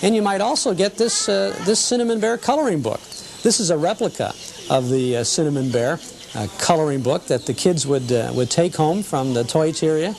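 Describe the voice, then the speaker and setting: A man's voice: a little high, moderate pace, creaky, darkish tone, a little hiss or hum. A man hosting a nostalgia TV show in an informal, folksy, chatty way with hesitant phrasing. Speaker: host.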